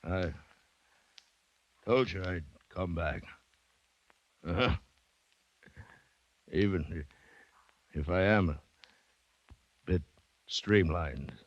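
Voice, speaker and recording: An actor playing a determined plantation owner fighting for his life, narrating intensely and haltingly in an American accent, in the manner of 1940s radio drama. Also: deep voice, lo-fi sound, heavily acted. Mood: weak, wry. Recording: restored 1940s radio recording, noisy, clicks.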